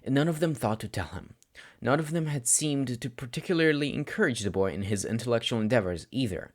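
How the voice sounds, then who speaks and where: A man's voice: medium pitch, lively intonation, brisk. A man reading a scripted story as an audiobook narrator. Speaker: podfic narrator.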